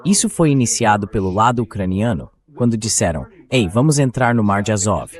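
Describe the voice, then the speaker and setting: A man's voice: lowish voice, animated, fairly quick. A man hosting a political discussion in a translated voice-over. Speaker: host.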